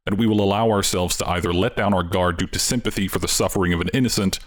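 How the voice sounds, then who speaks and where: A man reading a formal letter aloud in a measured, grave manner. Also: low voice.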